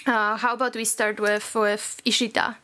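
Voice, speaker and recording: Podcast host, woman, slightly high voice, friendly measured interviewer. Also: flat delivery, sharp tone, a little hiss or hum. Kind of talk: hosting a panel discussion.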